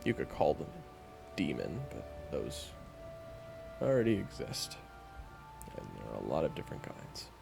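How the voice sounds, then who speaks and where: A man playing a sardonic, guarded monster hunter in a fantasy audio roleplay, with a gravelly voice and a dry, teasing, bluntly dismissive manner. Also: a bass voice, huge pitch swings, measured pace, pauses often, music in the background, exaggerated voice. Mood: animated, dismissive. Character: sardonic, guarded young male monster hunter, begrudgingly chatty. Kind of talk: fantasy audio roleplay acting, addressed to the listener.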